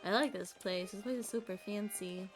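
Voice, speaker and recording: A woman's voice: mid-range voice, normal speed. A woman livestreaming a video game, chatting casually and reading dialogue aloud. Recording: music behind the voice. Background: game music.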